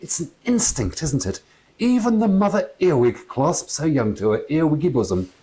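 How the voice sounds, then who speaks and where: A man voicing a loud, gushing, eccentric aunt from a storybook, melodramatic and drawn-out. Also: on the high side, big pitch swings, voice pushed up, low-quality recording. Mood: gushing, commanding.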